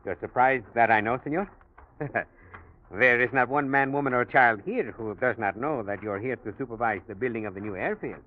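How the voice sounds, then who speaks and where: A man's voice: mid-range voice, moderate pace, natural voice. An actor playing a polite, talkative South American hotel man with a Spanish accent, in a 1940s radio drama style, courteous and hesitant. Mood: courteous, probing. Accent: Latin American Spanish. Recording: muffled, noisy vintage radio recording.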